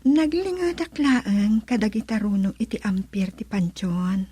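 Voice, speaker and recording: A woman acting a mysterious, soft young woman in an Ilocano radio comedy drama, with an Ilocano Filipino accent. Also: medium pitch, unhurried, husky, no room sound. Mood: calm, mysterious.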